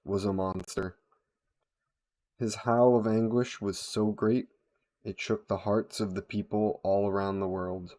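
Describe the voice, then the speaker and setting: A man voicing a brooding, solemn vampire who narrates a dark tale in a deliberate, dramatic storytelling style. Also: lowish voice, lightly acted. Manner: slow, deliberate, solemn delivery. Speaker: audio roleplay performer, storyteller.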